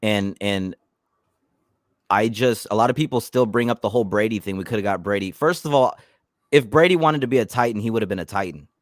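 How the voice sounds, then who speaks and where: A man hosting a casual live stream about his football team, talking in animated bursts and often repeating words as he restarts. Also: on the low side, fairly quick, dry recording, studio quality. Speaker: YouTube channel host.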